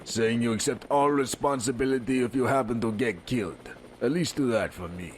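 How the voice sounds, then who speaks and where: An actor playing a stern, brisk special forces colonel in a radio drama, clipped and authoritative. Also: male voice, medium pitch, slight background noise, lightly acted. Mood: firm.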